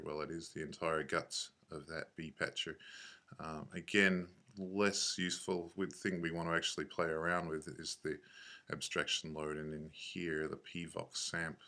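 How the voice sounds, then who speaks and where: A man with a low voice narrates a screencast tutorial in an offhand way. His delivery stays very flat, and he pauses, hesitates and corrects himself as he thinks through each step. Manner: halting, thinking aloud, self-correcting. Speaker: software tutorial instructor.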